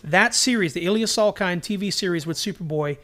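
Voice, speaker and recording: High-pitched, emphatic man co-hosting a podcast. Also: fairly quick, bright, forceful tone. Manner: emphatic, opinionated, anecdotal. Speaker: podcast co-host. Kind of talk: casual enthusiast discussion about TV shows.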